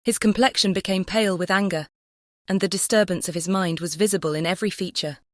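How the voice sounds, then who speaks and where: A woman's voice: medium pitch, fairly even intonation, fast, no room sound, clean studio sound. A woman narrating a classic novel as an audiobook, composed and carefully phrased. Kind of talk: scripted literary narration.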